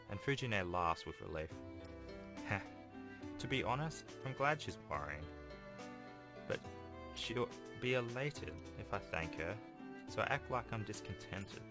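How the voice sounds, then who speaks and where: A man hosting a gaming video, reading a visual novel aloud in a casual way with informal offhand commentary. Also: low-pitched. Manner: informal, makes offhand asides between read passages. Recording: game music playing behind the voice. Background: game music.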